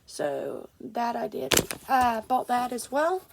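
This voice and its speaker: High-voiced, stuffy-nosed woman vlogging. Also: sing-song, slow, creaky, heavy background noise. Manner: rambling, many fillers and restarts. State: congested, stuffy nose. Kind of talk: casual unboxing vlog.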